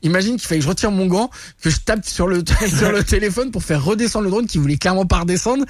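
Animated man telling stories. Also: high-pitched, quick pace, no echo. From France. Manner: animated, many restarts and laughs. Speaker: guest, reporter and influencer. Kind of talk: casual interview storytelling. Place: radio studio.

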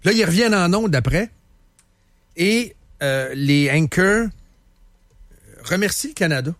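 A man with a Quebec French accent chats on the radio in a loose, rambling way, circling back and repeating words as he goes. His voice sits a little high for a man. The sound is close and dry, with no room around it. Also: animated, measured pace, a bit bright, no echo. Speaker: radio host. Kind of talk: casual radio chat. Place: radio studio.

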